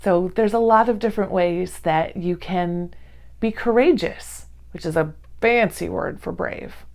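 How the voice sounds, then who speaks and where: A woman's voice: on the low side, measured pace, gravelly, darkish tone. A woman presenting for young children, explaining things in simple words as a read-along host.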